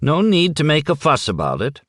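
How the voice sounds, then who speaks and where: A man's voice: fairly high pitch, wildly swooping pitch, moderate pace, a bit bright, no echo, studio quality, voice pushed up, heavily acted. A man doing a soothing tavern landlord. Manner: soothing, placating. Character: dutiful calming male tavern landlord.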